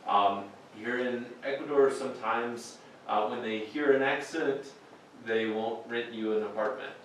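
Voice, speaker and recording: A man reads a translated account in a plain, measured voice, with the odd filler. The sound is very echoey and distant, with a faint hiss behind him. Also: mid-range voice, fairly bright tone. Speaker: voice-over interpreter. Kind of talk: translated voice-over.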